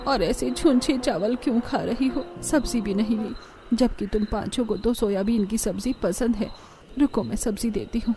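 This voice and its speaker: A woman's voice: a little high, normal speed, warm tone, slight background noise, close to an everyday voice. A woman voicing a caring, sorrowful elderly mother-in-law in a cartoon story, tender and lamenting. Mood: concerned.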